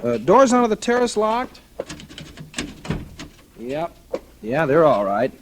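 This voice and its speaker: An actor with an American accent playing a casual, calculating, scheming husband in a 1940s radio thriller. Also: male voice, a little high, sing-song, slow pace. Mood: falsely solicitous. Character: smooth-talking, scheming husband plotting murder. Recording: noisy old radio recording.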